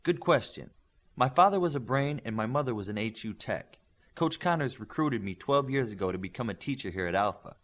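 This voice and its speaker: A man's voice: mid-range voice, expressive, brisk, close to an everyday voice. A man voicing a poised young female teacher in audiobook dialogue, formal, precise and self-assured.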